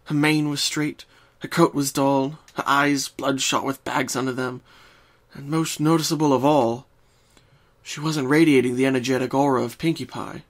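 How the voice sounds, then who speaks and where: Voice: mid-range voice, steady tone, moderate pace. A narrator giving a scripted dramatic reading of a story.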